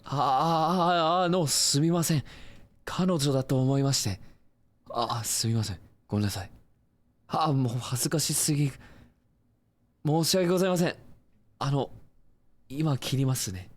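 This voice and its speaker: Man acting a deep-voiced cocky anime boyfriend. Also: slow, creaky, long pauses, exaggerated voice. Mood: flustered, embarrassed. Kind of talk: Japanese voice-drama phone monologue.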